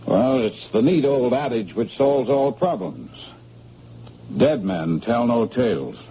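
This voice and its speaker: An actor playing a polite, resourceful hospital doctor, on a dull old radio recording. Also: male voice, mid-range voice, very animated, takes it slowly, warm tone, slight background noise. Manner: polite, thoughtful.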